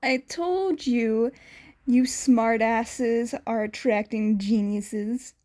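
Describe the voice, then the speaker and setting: Laid-back smirking joker man voiced by a woman, drawn-out lines, storybook reading. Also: on the high side, a little mellow. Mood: smug, casual. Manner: casual, drawling, teasing. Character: casual, smirking, teasing adult man.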